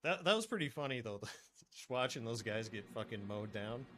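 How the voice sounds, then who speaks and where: A young man livestreaming a game, chatting casually and swearing freely, his voice going hoarse after hours of talking. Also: medium pitch, moderate pace. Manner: swears freely, laid-back, bursts into excitement. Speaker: streamer. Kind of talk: casual gaming livestream chat.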